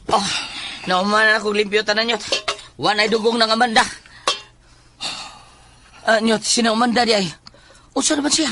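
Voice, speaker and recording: Man putting on a very high, excitable nosy companion. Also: moderate pace, pauses often, background noise, close to an everyday voice. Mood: insistent, curious. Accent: Filipino. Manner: exclamatory, insistent questioning. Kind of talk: Filipino horror audio drama acting.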